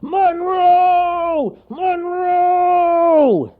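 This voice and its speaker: Huge grumpy storybook bear, gruff growl, exasperated bellowing, pitch leaping high, muffled recording. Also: male voice, extremely slow, exaggerated voice.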